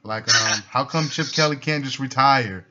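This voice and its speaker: Male interviewer, bright edgy tone, relaxed slangy delivery, casual sports talk on a dull phone call. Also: medium pitch, moderate pace. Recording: dull, noisy phone line.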